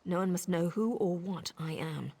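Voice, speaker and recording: A woman playing a sardonic assassin disguised as a servant in a video game, with a sarcastic, conspiratorial manner and a husky, breathy voice. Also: lowish voice, normal speed, dark tone. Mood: hushed, secretive. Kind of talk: video game character acting.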